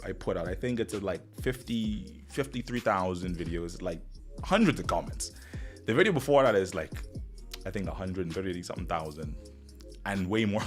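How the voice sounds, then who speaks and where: A man with a Bahamian accent hosting a casual live stream, rambling and upbeat as he talks straight to viewers, still getting over the flu. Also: lowish voice. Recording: music playing faintly behind.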